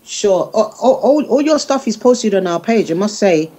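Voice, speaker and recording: A woman with a dark, mellow voice talks informally as a guest on a radio show. She is halting, repeating words as she explains things casually. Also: medium pitch, expressive. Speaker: guest, music group organiser. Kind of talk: casual radio interview talk.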